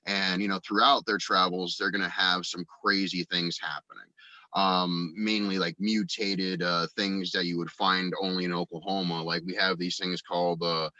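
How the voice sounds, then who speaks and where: Deep-voiced man, dull dry recording. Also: little pitch movement, pushed and bright, no echo. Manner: halting, rambling, frequent verbal hedging. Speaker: guest, audio drama actor and writer. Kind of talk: casual interview chat.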